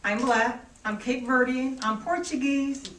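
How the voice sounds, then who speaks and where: A woman's voice: sits high, steady tone, a little slow, fairly bright tone. A woman giving an emphatic statement as a panelist at a press conference. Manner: emphatic, declarative. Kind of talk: public statement. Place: press club conference room. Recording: echoey room, distant mic, faint noise.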